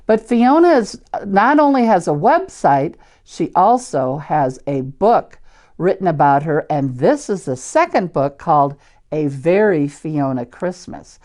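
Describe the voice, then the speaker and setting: Older woman, Midwestern American accent, children's storyteller, unhurried pace, expressive swinging pitch. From Iowa, United States. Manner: simple, deliberate wording, asks listeners questions. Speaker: church storyteller reading picture books to children. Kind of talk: children's read-aloud with chatty asides.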